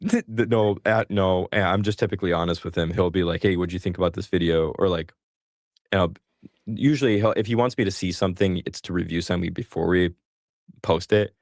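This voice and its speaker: A man with a very low, gravelly voice hosts a live call-in stream. He talks casually, joking and poking fun at himself. The sound is dry and close. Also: fairly quick, no echo. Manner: chatty, self-deprecating, joking. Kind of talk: casual chat.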